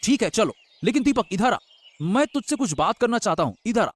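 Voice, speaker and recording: A man voicing a greedy, lying elder brother with a sly, flattering manner in a moral-story cartoon. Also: sits high, fairly quick, no echo. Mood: conspiratorial. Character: scheming young man, greedy elder brother who lies. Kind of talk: animated Hindi moral-story dialogue.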